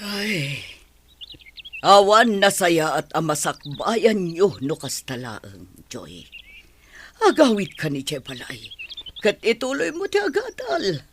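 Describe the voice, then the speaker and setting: A woman's voice: lowish voice, huge pitch swings, takes it slowly, slight background noise, exaggerated voice. A woman playing a worried, disapproving middle-aged mother in an Ilocano radio drama. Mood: disapproving, urging.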